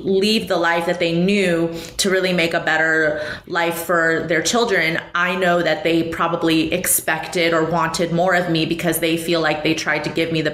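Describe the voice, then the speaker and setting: A woman's voice: fairly low pitch, fairly bright tone, slight background noise. Chatty woman in her thirties, echoey room. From Taiwan. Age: 30s. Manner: chatty and reflective, laughs lightly mid-sentence. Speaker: podcast guest, professional poker player. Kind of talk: casual interview conversation.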